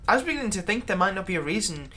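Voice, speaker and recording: A woman playing a long-serving, exasperated human servant of a vampire in a dramatic roleplay monologue, halting and sardonic. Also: medium pitch, very animated, a bit bright. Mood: wounded, menacing. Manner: conversational, halting, sardonic, emphatic when aggrieved. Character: long-serving human thrall, exasperated, pleading, turning menacing toward vampire master. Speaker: roleplay audio performer.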